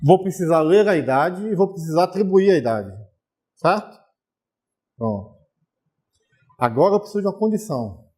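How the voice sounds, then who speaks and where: Teacher explaining step by step. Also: male voice, sits high, lively intonation, moderate pace, long pauses, mellow. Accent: Brazilian Portuguese. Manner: explains step by step, checks in with viewers. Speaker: programming teacher. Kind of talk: recorded distance-learning lesson.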